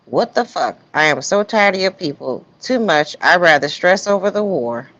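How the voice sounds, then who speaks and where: Female live stream host, casual slangy commentary, slightly low voice, muffled lo-fi sound. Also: expressive, moderate pace, a bit bright. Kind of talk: casual commentary on text messages. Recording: dull, lo-fi stream audio.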